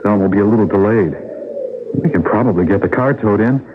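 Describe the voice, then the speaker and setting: An actor playing an easygoing, practical young American husband in a radio mystery drama, talking in a folksy, halting, reassuring way. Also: male voice, on the low side, lightly acted. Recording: noisy, tinny old radio broadcast.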